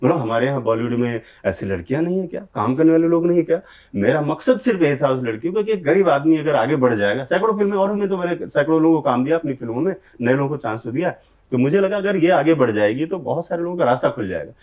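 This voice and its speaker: A man's voice: a little high, animated, quick pace, darkish tone. A middle-aged man answering interview questions, speaking earnestly and with grievance in long run-on accusations. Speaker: film director, interviewee.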